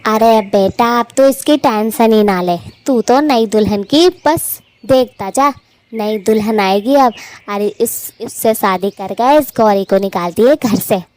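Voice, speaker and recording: A woman's voice: a little high, measured pace, darkish tone, lightly acted. A woman voicing a scheming, bossy older mother-in-law bird in a cartoon who threatens her daughter-in-law.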